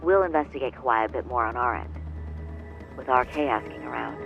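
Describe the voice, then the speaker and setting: A woman's voice: a bass voice, brisk, vocal fry, dull audio, over music. Poised, assertive woman attorney. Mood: measured, businesslike. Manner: articulate, formal, firm. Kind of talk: English dub of a crime drama game.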